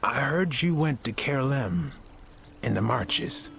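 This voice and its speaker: An actor playing a weary, bitter middle-aged academic mentor with a gravelly, hesitant delivery in a video game. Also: male voice, mid-range voice, expressive, slow pace, a bit bright, slight background noise, full character voice. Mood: resigned, bitter. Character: weary, bitter, bookish male scholar and former mentor. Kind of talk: video game cutscene acting. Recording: music under the voice.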